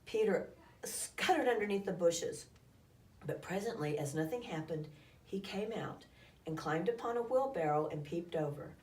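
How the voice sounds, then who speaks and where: A woman with a slightly low voice reads a children's storybook aloud. She tells it expressively and with some drama. She is recorded at a distance from the microphone in an echoey room. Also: lively intonation, moderate pace, a little mellow. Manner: dramatic, acts out calls and sound effects.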